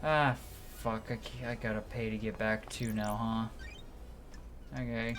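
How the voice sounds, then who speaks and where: A man giving casual, sweary live commentary on a video game, thinking out loud and reading game text aloud. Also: lowish voice, flat delivery, unhurried, noisy background. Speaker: game streamer. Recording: game music behind. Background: video game music.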